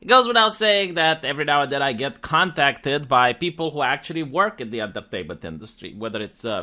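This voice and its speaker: A man's voice: fairly high pitch, pushed and bright. A man giving conversational, opinionated video commentary in an emphatic, critical and sarcastic manner.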